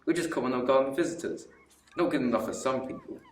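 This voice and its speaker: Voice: medium pitch, fast talker. A young actor playing a cheeky, teasing working-class holidaymaker man in a school radio play. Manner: teasing, loud and lively. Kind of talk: amateur radio play acting. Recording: roomy echo, distant mic, faint hiss.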